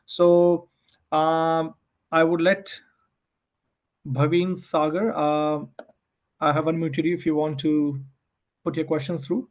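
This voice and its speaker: A man's voice: fairly high pitch, little pitch movement, slow, long pauses. A man hosting an online webinar as an immigration adviser, explaining politely with repeated restarts and hesitant phrasing.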